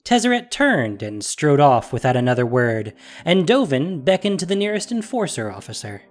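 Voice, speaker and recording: A narrator reading a scripted fantasy story in an expressive storytelling manner. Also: mid-range voice, moderate pace, faint music behind.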